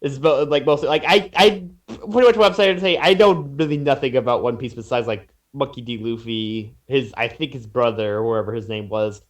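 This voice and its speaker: A man's voice: mid-range voice, fairly quick, hardly any pauses. A man chatting casually as a podcast panelist, stammering, repeating himself and trailing off. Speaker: podcast panelist reviewing fan fiction. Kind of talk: casual chat.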